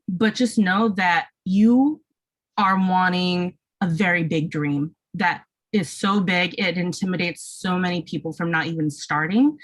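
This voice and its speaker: A young American woman giving candid, encouraging career advice on a video call, speaking casually and with emphasis. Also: on the low side. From St. Louis, United States. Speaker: animation professional on a panel. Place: indoor room, video call. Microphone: video call microphone.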